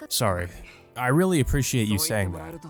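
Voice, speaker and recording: A man's voice: fairly low pitch, very animated, moderate pace. Man playing an earnest young brother, music behind. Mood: apologetic. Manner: earnest, apologetic, casual. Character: earnest, weary teenage brother, visual novel protagonist. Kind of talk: visual novel character read-aloud.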